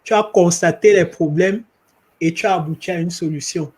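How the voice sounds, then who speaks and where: A man's voice: high voice, moderate pace, a little mellow. A man interviewing a guest, affirming and summarizing his points. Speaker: interviewer, platform founder.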